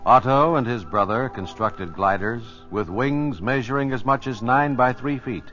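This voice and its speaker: A man's voice: mid-range voice, moderate pace, a bit bright, faint music behind. A man reads scripted narration for a 1950s radio documentary. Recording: muffled old radio recording.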